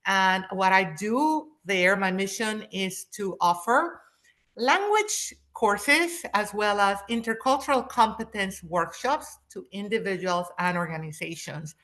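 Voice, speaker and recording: A woman's voice: mid-range voice, a bit bright. A woman from Panama, presenting a webinar as a linguist in an enthusiastic, welcoming way over a video call.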